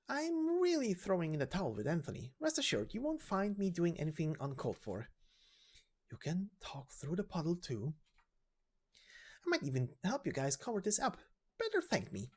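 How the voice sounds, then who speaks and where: A man's voice: high voice, a little mellow, exaggerated voice. A man voicing a cheery, teasing, scheming young woman in a game story, breezy and sly as she lectures.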